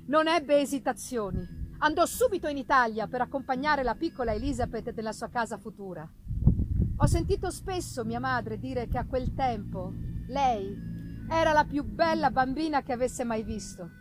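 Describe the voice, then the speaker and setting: A woman voicing a sorrowful ruined gentleman who tells his life story in a grave, formal, deliberate way, in a dramatic novel reading. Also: sits high. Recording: noticeable background noise, live performance reading.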